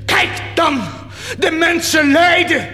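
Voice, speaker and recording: A man's voice: very high-pitched, fairly even intonation, takes it slowly, exaggerated voice. A fervent, tormented man, cavernous reverb. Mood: desperate, furious. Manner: declamatory, swinging between hushed and roaring. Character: tormented, fervent man defying God and claiming divine wounds. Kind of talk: theatrical radio drama acting. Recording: cavernous long reverb under loud music.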